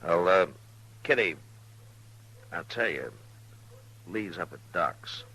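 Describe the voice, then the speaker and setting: An actor playing a laconic, firm frontier marshal with a husky voice in an old-time radio Western. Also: male voice, low voice, animated, slow pace, sharp tone, heavily acted, low-quality recording. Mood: easygoing. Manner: laconic, plain-spoken, authoritative.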